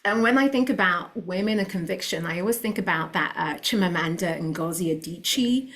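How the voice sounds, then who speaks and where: Podcast guest: woman, London accent, low-ish voice, earnest and motivational. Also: a little fast, a bit bright. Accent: London English. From London, England. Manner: earnest and motivational, with a few laughs. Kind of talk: casual interview chat.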